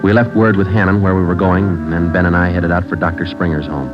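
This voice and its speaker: Man doing a flat clipped cop, real low voice, talks fast, old radio with music behind. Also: dull audio, close to an everyday voice. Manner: clipped, flat, matter-of-fact. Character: terse male police sergeant. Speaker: police sergeant and narrator. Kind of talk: 1940s radio drama narration.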